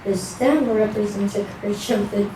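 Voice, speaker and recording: A woman's voice: mid-range voice, moderate pace. A woman reading a prepared text aloud at an outdoor ceremony, in a recited delivery. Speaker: ceremony reader. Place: outdoor veterans' memorial ceremony. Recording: distant, echoey, noisy outdoor pickup.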